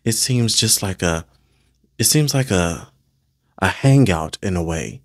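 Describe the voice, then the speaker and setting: Male reaction host, low voice, lively intonation, casual slangy gushing delivery. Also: a little slow, dry recording, clean studio sound. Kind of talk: casual excited reaction commentary.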